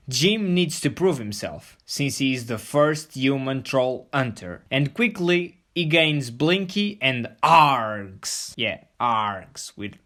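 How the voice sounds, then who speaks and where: A man's voice: mid-range voice, a bit bright. A man hosting a scripted video review, playful and full of jokey asides. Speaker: host of a review show.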